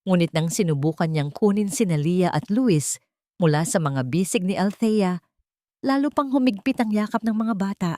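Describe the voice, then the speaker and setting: A woman reading a scripted audio drama in an emotive, deliberate storytelling manner. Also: medium pitch, dark tone, no echo, studio quality.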